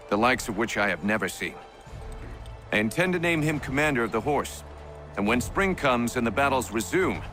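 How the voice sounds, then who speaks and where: An actor playing a dignified, resolute middle-aged army commander in a video game, speaking in a grave, formal, deliberate manner. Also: male voice, fairly low pitch, a bit bright, lightly acted. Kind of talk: video game cutscene acting.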